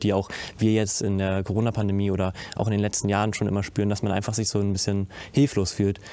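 A man's voice: lowish voice, darkish tone, no echo. A young man on a discussion panel, speaking intensely with many hesitations and restarts. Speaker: youth panelist. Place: indoor studio set with curtains.

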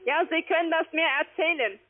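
A woman with a foreign accent, speaking halting, ungrammatical non-native sentences as a call-centre agent on a sales call. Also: very high voice. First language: not German. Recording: narrow tinny phone line.

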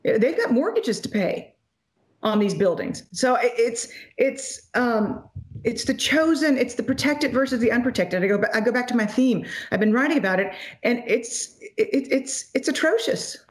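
A woman in her forties, an economist guest, talking emphatically and quickly over a video call from a home office. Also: on the high side, lively intonation. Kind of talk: animated interview commentary. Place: home office in front of a logo backdrop. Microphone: video call webcam.

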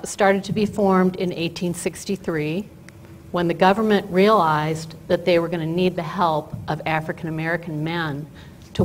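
Older lady telling a story at a podium, lots of ums, kinda low voice, faint noise behind. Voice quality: loud voice. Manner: chatty storytelling with fillers. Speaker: guest speaker. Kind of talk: lecture with slides. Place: auditorium stage at a podium. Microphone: podium mic.

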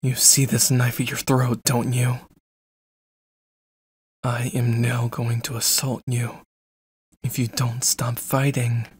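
A man's voice: medium pitch, completely flat delivery, slow, long pauses, breathy edge. A man playing a jealous, controlling stalker in an ASMR roleplay, with a cold, clipped, threatening delivery. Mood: controlled threat. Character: jealous, controlling, menacing young male stalker.

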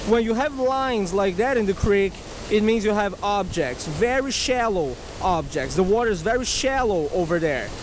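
High-voiced man hosting an outdoor fishing tutorial. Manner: animated, repeatedly checks in with "right?" and "okay?". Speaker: fishing video host. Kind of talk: casual tutorial commentary to camera. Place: outdoors beside a rushing creek. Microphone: body-worn action camera. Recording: outdoor noise of rushing water. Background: rushing water.

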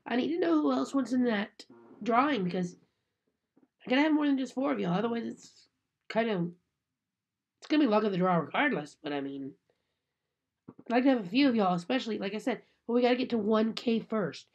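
A woman's voice: on the high side, expressive, a little fast, long pauses, darkish tone. A woman, sick with a cold and sounding nasal, chatting casually to a webcam in a small room. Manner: chatty, apologetic. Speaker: unboxing vlogger. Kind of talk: casual chat to camera. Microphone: webcam at desk.

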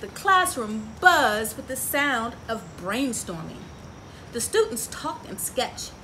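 Expressive high-pitched woman reading to children. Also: slow, low-quality recording. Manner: expressive, engaging children with frequent questions. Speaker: story time host. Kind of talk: picture book read-aloud for children with asides and questions. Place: outdoors on a porch by trees. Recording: clear outdoor ambient noise.

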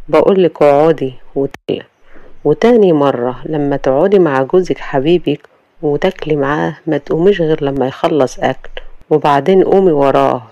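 An arrogant rich husband with an Egyptian accent, cold and commanding with a mocking streak, as a storyteller plays him. His voice is gravelly and dark. It is recorded dry and close. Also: fairly high pitch, brisk, no echo, highs cut off, close to an everyday voice. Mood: domineering.

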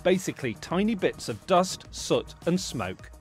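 A man narrating a news report as the reporter, inquisitive and lively. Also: sits high, measured pace, faint music behind.

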